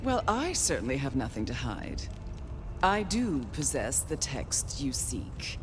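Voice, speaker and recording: An actress playing a haughty, scheming, unhinged vampire grande dame in a video game, theatrical and condescending. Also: female voice, very deep voice, big pitch swings, a little slow, over music, exaggerated voice. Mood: guarded, sly. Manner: theatrical, condescending, swooping delivery.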